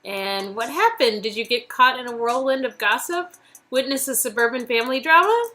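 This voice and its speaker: A woman's voice: fairly high pitch, lively intonation, moderate pace, bright, forceful tone. A woman reading game dialogue aloud in a casual, playful way, with a teasing, flirty manner. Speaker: co-reader performing a visual novel game.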